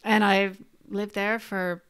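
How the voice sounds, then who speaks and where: A woman's voice: mid-range voice, flat delivery, takes it slowly, fairly bright tone, clean tone. Middle-aged Canadian woman, expert guest on a video-call interview, friendly and explanatory, close podcast mic. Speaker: naturopathic doctor, guest. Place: small room indoors. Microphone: podcast mic on boom arm.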